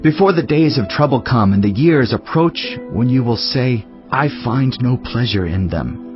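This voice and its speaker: An actor voicing a solemn, wise teacher in a dramatized scripture reading, speaking in a measured, declamatory way. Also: male voice, mid-range voice, close to an everyday voice. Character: solemn male Teacher, wise biblical sage reflecting on life. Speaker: scripture reader. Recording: dull lo-fi audio over music.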